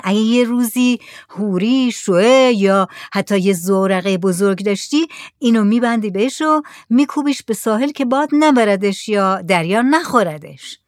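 A woman's voice: mid-range voice, expressive, dry recording, clean studio sound, natural voice. A woman reading the lines of an eager village man trying to sell his goods. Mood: coaxing. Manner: coaxing, persuasive.

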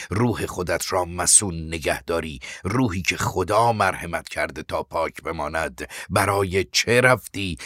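A man's voice: low-pitched, bright, forceful tone, no room sound, studio quality, full character voice. A man voicing an embittered, frail old man who was once powerful, insistent and mournful, in an audiobook. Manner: insistent, repeats himself mournfully. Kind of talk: dramatic audiobook character acting.

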